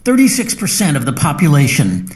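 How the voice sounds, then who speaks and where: A man's voice: a little high, huge pitch swings, brisk, darkish tone. A man acting as a grave, pragmatic scientist admonishing a colleague in an audio drama. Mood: grave, cautioning. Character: cautious, pragmatic male scientist colleague, stern.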